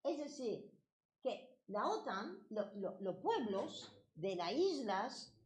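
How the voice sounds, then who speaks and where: A woman's voice: on the high side, sing-song, slow, a little hiss or hum. A woman with a French accent giving a passionate political talk at a debate. She often restarts words and hesitates. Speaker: debate speaker.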